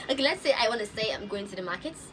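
A young Nigerian woman vlogging from an outdoor balcony, talking straight into a phone camera in a loose, chatty way. She stumbles and repeats words now and then. Clear street noise sits behind her voice. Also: on the high side, animated, fast. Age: young adult. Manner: chatty, with stammers and laughing asides. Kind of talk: casual get-to-know-me vlog. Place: outdoors on a balcony above rooftops. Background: street ambience.

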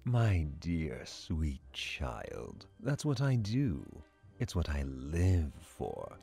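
A man's voice: very deep voice, wildly swooping pitch, drawn-out words, vocal fry, mellow, soft background music, heavily acted. A man playing a sly, dangerously alluring sea sorcerer in an audio drama, silky, theatrical and mock-sweet. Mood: theatrical, mock-sympathetic. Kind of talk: audio drama villain acting.

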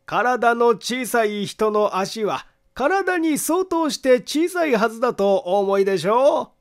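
Man playing a high-pitched odd, amused eccentric. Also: big pitch swings, full character voice. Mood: amused, musing. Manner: chuckling to himself, drawn-out storytelling. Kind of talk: dramatized audiobook reading.

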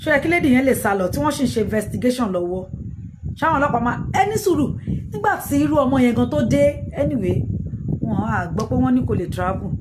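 A woman's voice: a little high, lively intonation, racing. A middle-aged woman with a Nigerian Yoruba accent, a native Yoruba speaker, giving casual live commentary in an emphatic way, repeating her phrases. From Ijebu, southwestern Nigeria. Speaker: livestream host commenting on news. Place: small indoor room with curtain. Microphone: phone at arm's length. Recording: noisy phone recording with room ambience.

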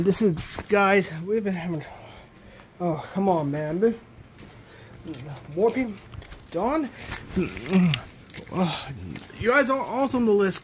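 A man's voice: high voice, slight background noise. A young man voicing his plush toys in an improvised cartoon, rambling with lots of restarts, recorded on a handheld phone in a bedroom. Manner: rambling, halting, many restarts. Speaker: puppeteer voicing all the plush characters.

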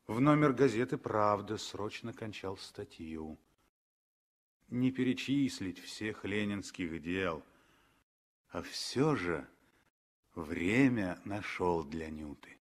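A man narrating a 1960s radio drama with dramatic, deliberately phrased storytelling. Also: fairly low pitch, animated.

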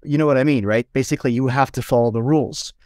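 Swiss male podcast host, mid pitch, halting restarts, dry close mic. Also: a little mellow, dry recording. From Switzerland. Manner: hesitant, restarts and stacks up phrases. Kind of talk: conversational interview.

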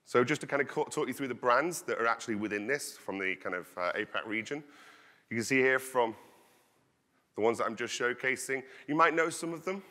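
A man in his thirties giving an energetic conference talk, projecting his voice as he walks the stage on a headset mic. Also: medium pitch, brisk. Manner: loud, projecting voice. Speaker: conference speaker. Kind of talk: conference presentation. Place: large conference hall stage. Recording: long hall reverb.

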